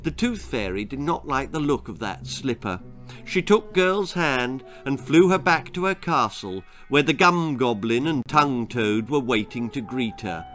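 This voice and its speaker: Slow, dramatic older storyteller. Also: male voice, medium pitch, animated, a bit bright, faint music behind. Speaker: author reading his own stories. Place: small book-lined room at home.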